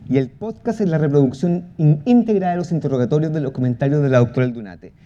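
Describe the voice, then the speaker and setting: A man explaining a project in a talk, halting, with false starts and drawn-out pauses. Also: on the high side, warm tone. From Chile. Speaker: podcast creator giving a presentation. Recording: muffled audio with clear background noise.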